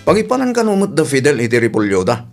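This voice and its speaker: A man's voice: mid-range voice, huge pitch swings, fast, over loud music. A man playing a meddling, secretive village trader in an Ilocano radio drama, with an Ilocano Filipino accent and a grumbling manner. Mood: evasive, prying.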